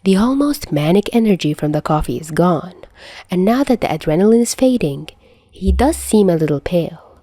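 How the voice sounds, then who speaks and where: A woman's voice: lowish voice, lively intonation, moderate pace, mellow, no room sound. A woman narrating fan fiction as an amateur audio story reader. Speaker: podfic narrator.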